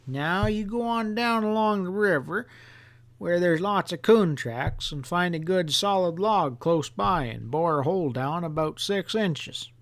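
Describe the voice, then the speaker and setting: A man's voice: sits high, a little slow, pitched above the performer's own voice. A man voicing a mischievous, folksy old country grandfather who explains things with earnest emphasis in an audiobook story. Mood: earnest, instructive. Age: older.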